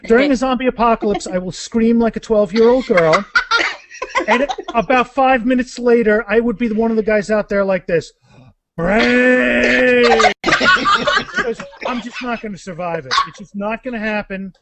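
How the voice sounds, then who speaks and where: High-pitched man, joking and self-mocking. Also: moderate pace, very noisy. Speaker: guest author and podcaster. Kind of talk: casual podcast chat.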